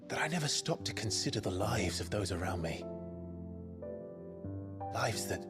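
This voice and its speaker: A man voicing a brooding, burdened young man in a video game, speaking gravely and earnestly with quiet resolve. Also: on the low side, animated, normal speed, close to an everyday voice. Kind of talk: dramatic video game acting. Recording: music under the voice.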